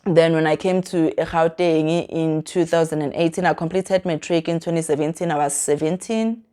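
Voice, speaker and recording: Chatty South African woman, low voice. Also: steady tone, moderate pace, warm tone. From Mpumalanga, South Africa. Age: 30s. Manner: chatty and rambling, switching between English and Zulu phrases, often correcting herself. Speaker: vlogger. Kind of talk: casual chat to camera, self-introduction.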